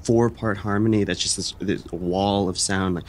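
A man's voice: fairly low pitch, steady tone, natural voice. An actor playing an awkward, apologetic young man who stammers and hesitates, in a naturalistic fiction podcast. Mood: earnest, rambling. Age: young adult. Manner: halting, stammering, tentative.

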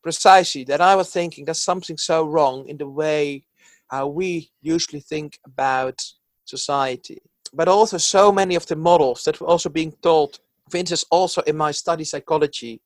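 A man's voice: on the high side, moderate pace, no echo. Dutch-accented man, earnest and reflective. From Netherlands. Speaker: psychologist guest. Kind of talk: reflective interview talk.

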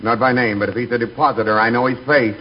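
A man's voice: medium pitch, fairly even intonation, quick pace, natural voice. A man playing a blunt, slangy, skeptical bank doorman in 1940s radio comedy. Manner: slangy, ungrammatical. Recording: muffled, hissy 1940s radio recording.

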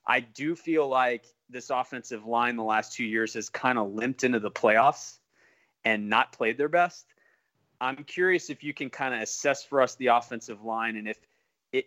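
A man with a bright, sharp voice hosts a conversational sports interview. He is long-winded and halting, revising his phrasing as he goes. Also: mid-range voice. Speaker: sports podcast host.

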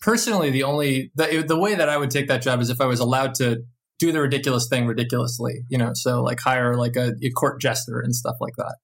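A man's voice: medium pitch, fairly even intonation. A man co-hosting a podcast, rambling casually and joking as he goes. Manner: jokey, quick to laugh, rambling. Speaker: podcast co-host.

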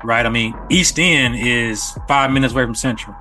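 A man with a bright, forceful voice of average pitch talks casually on a podcast. He explains as he goes, peppering it with familiar tags. Faint music runs underneath. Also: moderate pace. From Little Rock, Arkansas, USA. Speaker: podcast speaker. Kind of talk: casual chat.

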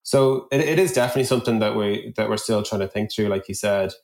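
A man's voice: on the low side, quick pace, a little mellow. A man in a conversational podcast discussion, thinking aloud at length and working through examples. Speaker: podcast guest, product executive. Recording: roomy echo on the voice.

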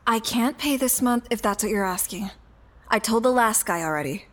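A woman's voice: on the high side, expressive, a little slow, a bit bright. An actress playing a bitter, guarded working mother in a sci-fi audio drama, blunt, sardonic and suspicious. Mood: wary. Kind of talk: audio fiction acting.

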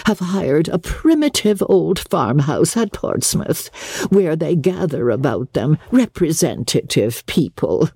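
A woman's voice: sits low, sing-song, takes it slowly, gravelly, mellow, husky, no room sound, heavily acted. A woman voicing an affected, theatrical society aunt in an audiobook, effusive, grandiose and sighing. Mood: gushing. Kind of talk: dramatic audiobook character acting.

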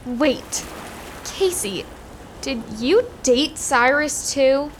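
A woman's voice: high-pitched, animated, very slow, crowd noise, full character voice. A woman voicing a naive, literal and curious young girl character in an audio drama. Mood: nosy.

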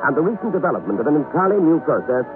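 A man delivers a vintage 1960s radio commercial in a highish, very animated announcer's voice. The sound is narrow and tinny, with music clearly playing behind him. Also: racing. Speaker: advert announcer.

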